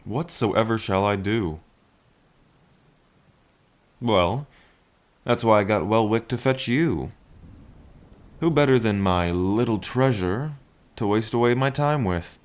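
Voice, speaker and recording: A man's voice: fairly low pitch, slow pace, pauses often. A man playing a playful, lovestruck dragon king in an intimate ASMR roleplay, with a languid, teasing and tender manner. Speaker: roleplay voice performer. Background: rain.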